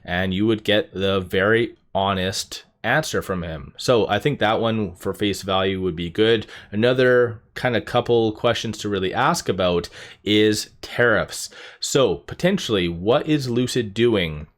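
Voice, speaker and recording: Low-voiced man, informal commentary. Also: unhurried. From Canada. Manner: rambling, thinking out loud. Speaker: YouTube host.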